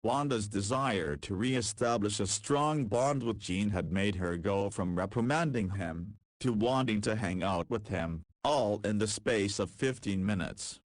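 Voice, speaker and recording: A man narrating a visual novel in an even, measured storytelling manner. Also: on the low side, no echo.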